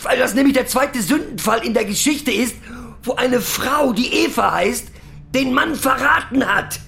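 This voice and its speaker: An actor playing a foul-mouthed, boozy German pub regular who rants crudely and haltingly, sentimental underneath, in a radio drama. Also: male voice, extremely high pitch, gravelly, sharp tone, heavily acted. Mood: bitter, ranting. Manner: crude ranting, halting and rambling, swearing. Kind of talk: theatrical radio drama monologue.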